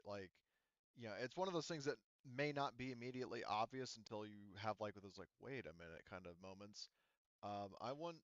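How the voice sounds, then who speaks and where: Low, smooth-voiced man game mastering. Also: fairly bright tone, no room sound, highs cut off. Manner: halting, explanatory. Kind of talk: running a tabletop roleplaying game.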